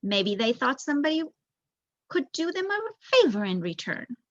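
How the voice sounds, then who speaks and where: Lady reading as a worried mama mouse in a kids story, gentle explaining, highish, dull dry sound. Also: big pitch swings, normal speed, no room sound. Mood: reassuring, motherly. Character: worried, kindly mother field mouse.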